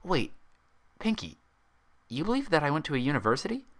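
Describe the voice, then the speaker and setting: A man's voice: a little high, big pitch swings, a little fast, fairly bright tone, pitched above the performer's own voice. A man voicing a bookish, irritable young female pony in a story reading, strained and sarcastic. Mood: startled. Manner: strained, self-controlled, sarcastic. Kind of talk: dramatized fan fiction reading.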